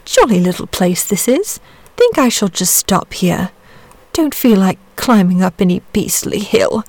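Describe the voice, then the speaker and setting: A woman voicing a genial, drowsy male dragon who murmurs slowly. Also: mid-range voice, sing-song, darkish tone, dry recording. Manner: slow, sleepy murmuring.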